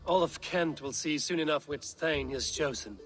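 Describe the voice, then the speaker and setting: A stern Viking warrior from a video game played by a man, wary and short of patience. The lines come out terse and direct, pitched slightly high for a man. Faint music sits underneath. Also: moderate pace, natural voice. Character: blunt, wary Viking warrior, impatient bargainer. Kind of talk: video game drama acting.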